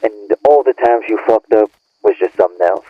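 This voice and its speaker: A tinny phone-filtered voice, low and close, of a man acting an irritated young guy in an audio drama. He scolds and blames in blunt, profane slang, bitter and fed up with his friend. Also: a little fast, no echo. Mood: angry, blaming. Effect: phone or radio filter. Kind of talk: audio roleplay acting, naturalistic argument. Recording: narrow tinny phone-like band.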